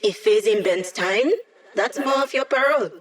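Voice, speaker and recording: A woman's voice: fairly high pitch, normal speed, lightly acted. An actress voicing a cocky, playful young female hacker who guides a squad in a video game, with a teasing, breezy manner. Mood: sly, teasing. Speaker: hacker and mission support. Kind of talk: video game character voice lines.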